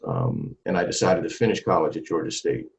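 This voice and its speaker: Low gravelly-voiced man telling stories. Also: brisk, a little hiss or hum. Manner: animated, many restarts and repeated words. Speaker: trumpeter and singer, interview guest. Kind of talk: casual interview storytelling. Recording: dull lo-fi sound.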